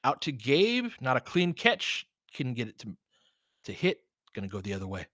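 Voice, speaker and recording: Excitable man calling basketball, wildly varied pitch. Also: moderate pace, no room sound, studio quality. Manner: excitable, whooping and swearing, partisan. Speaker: play-by-play commentator and host. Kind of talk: informal fan live basketball commentary.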